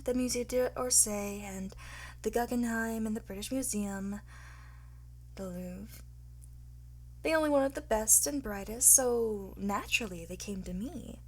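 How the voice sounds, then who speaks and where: A woman playing a cocky, flirtatious art thief in an ASMR roleplay, teasing and smug, often slipping into breathy whispers. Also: medium pitch, a little slow, darkish tone. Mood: boastful, theatrical. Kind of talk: ASMR roleplay, one-sided acted dialogue.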